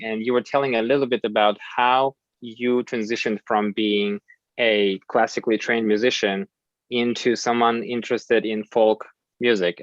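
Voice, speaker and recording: A man hosting a webinar, relaxed and full of uhs, speaking over a video call. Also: lowish voice, no echo, lo-fi sound. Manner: relaxed, uh-filled. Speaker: webinar host. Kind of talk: webinar opening announcements.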